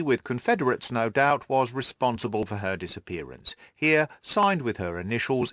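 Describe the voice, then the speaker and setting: A man voicing an excitable, cocksure police detective in an audiobook, emphatic and scornful. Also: medium pitch, no room sound, studio quality, lightly acted. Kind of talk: audiobook character acting.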